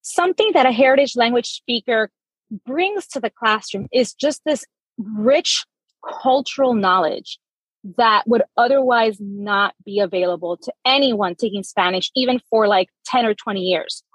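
A woman's voice: on the high side, moderate pace, breathy edge, no echo, rough recording. A woman from Miami speaking on a panel as an educator and researcher, earnest and personal. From Miami, Florida. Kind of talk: conversational panel answer.

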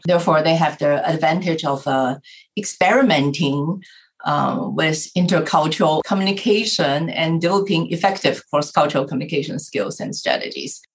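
A woman from Taiwan, a researcher, answering panel questions carefully with frequent pauses over a video call. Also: sits low.

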